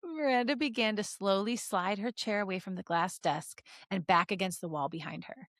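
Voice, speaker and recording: Podcast host lady reading fanfic out loud, playful, jokey asides, medium pitch, super dry sound. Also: normal speed, no room sound. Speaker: podcast host reading fan fiction aloud. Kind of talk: story reading mixed with joking banter.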